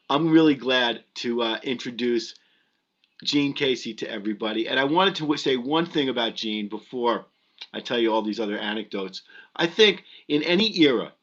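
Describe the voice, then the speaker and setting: A man's voice: medium pitch, fairly bright tone. A middle-aged man hosting a live stream, giving a casual introduction in an effusive, emphatic way. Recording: dull lo-fi web stream audio.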